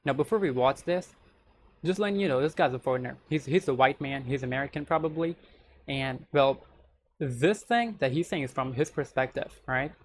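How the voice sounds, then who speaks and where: A young Indian man talks loosely and rambles, looping back to the same stock phrases. His voice sits at an everyday middle pitch. A faint hiss of noise runs under the recording. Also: lively intonation, moderate pace. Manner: rambling, repeats "so the thing is". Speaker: YouTuber reacting to a video. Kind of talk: casual reaction commentary.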